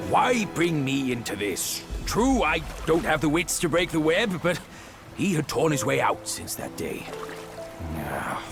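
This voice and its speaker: A male actor playing a vain, good-natured pig demon in a video game, with a playful, expressive, defensive manner. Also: on the high side, unhurried, creaky, exaggerated voice. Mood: rueful. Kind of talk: video game cutscene acting. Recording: background music under the voice.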